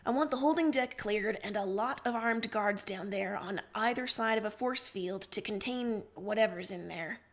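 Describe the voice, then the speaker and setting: A woman's voice: mid-range voice, normal speed, hardly any pauses, lightly acted. A woman voicing a commanding, wary alien ruler in a story, direct and sceptical.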